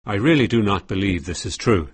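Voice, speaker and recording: A man's voice: on the low side, expressive, brisk, husky, no echo. A man voicing a skeptical, formal male priest.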